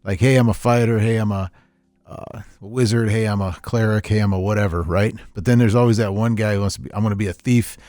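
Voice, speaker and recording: A man's voice: lowish voice, steady tone, fast, warm tone, dry recording. A man hosting an unscripted podcast, talking casually in a rambling, opinionated way. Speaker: podcast host.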